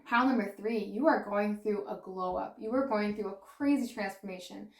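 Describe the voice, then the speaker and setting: Excitable woman with a fading voice. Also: mid-range voice, normal speed, reverberant. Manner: excitable and gushing, exclaiming and laughing. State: losing her voice. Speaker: tarot reader. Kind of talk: pick-a-pile tarot reading.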